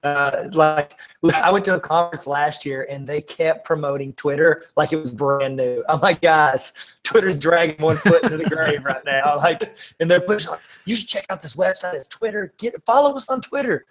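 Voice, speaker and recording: Male podcast co-host, fairly high voice, loose and jokey, self-deprecating and easily amused. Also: normal speed, fairly bright tone. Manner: laughs often while talking, self-deprecating. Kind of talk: casual chat, joking.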